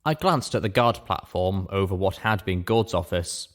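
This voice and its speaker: A man's voice: low voice, animated, moderate pace. A man reading first-person fiction aloud as an audiobook narrator.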